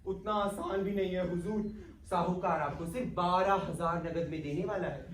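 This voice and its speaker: A man's voice: high-pitched, fairly even intonation, normal speed, slight background noise, natural voice. A man playing a cheeky, put-upon manservant in a comic stage play, deferential yet impudent. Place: theatre stage, distant microphone. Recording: echoey distant stage recording with audience.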